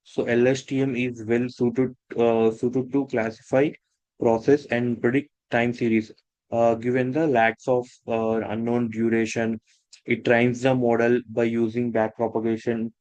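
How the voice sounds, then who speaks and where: A man teaching a technical lesson, talking in a halting way with many restarts and fillers. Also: medium pitch, flat delivery, a little mellow, dry recording, rough recording. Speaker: instructor. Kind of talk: technical lecture.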